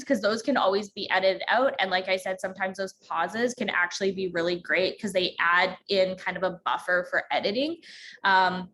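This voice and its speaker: A woman with a slight central Alberta accent, a podcast host giving an informal teaching talk, talking fast with many ums. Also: medium pitch, fairly bright tone.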